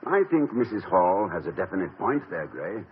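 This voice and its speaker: An actor playing a genteel, pedantic middle-aged college president who hesitates and stammers, in 1950s radio comedy style. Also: male voice, low-pitched, sing-song, moderate pace, husky, full character voice. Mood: wry. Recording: dull lo-fi 1950 radio broadcast.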